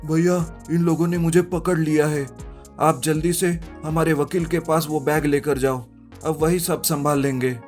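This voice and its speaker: A man's voice: fairly high pitch, steady tone, unhurried, a little mellow, natural voice. A man playing an earnest, polite young man in a narrated story. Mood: urgent. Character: earnest young man in trouble, wealthy heir. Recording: music behind the voice.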